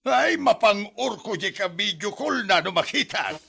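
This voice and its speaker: A man performs a married Ilocano father in a comedy drama, jealous and blustering. His voice is husky and slow-paced, rather high for a man. He exclaims and stammers whenever flustered. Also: animated, pushed and bright, heavily acted. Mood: indignant, jealous. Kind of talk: comedy radio-style drama acting.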